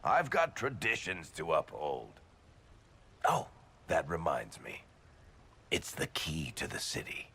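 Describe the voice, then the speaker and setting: Game role: irreverent dwarf ruler, deep gravel, lively sardonic swing. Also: male voice, takes it slowly, pauses often, slight background noise, full character voice. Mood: warm, teasing. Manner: sardonic, casual, swearing banter. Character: wry, irreverent dwarf newly elected as city ruler. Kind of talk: video game voice acting.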